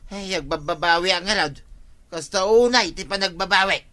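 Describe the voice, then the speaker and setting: A man's voice: high-pitched, normal speed, bright, forceful tone. A man playing an agreeable village neighbour in a radio comedy drama, conversational with exclamations. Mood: jovial.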